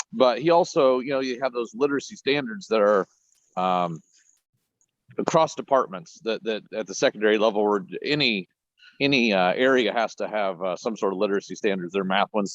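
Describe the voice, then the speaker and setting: Guy educator on a video call, kinda low voice, halting casual answer, muffled lo-fi sound. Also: no room sound. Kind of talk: casual off-the-cuff answer in an online meeting.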